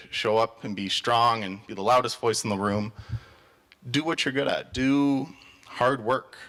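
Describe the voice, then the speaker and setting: A man's voice: mid-range voice, fairly bright tone. A young man from Canada giving an earnest talk to an audience and then answering questions. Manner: earnest, storytelling. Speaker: speaker.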